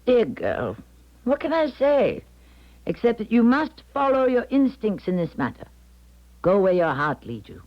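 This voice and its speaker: An actress playing a mature, composed clairvoyant in a 1970s radio drama, grave and motherly, with measured, formal, theatrical delivery. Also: on the high side, wildly swooping pitch. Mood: resigned, tender. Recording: dull 1970s radio broadcast recording.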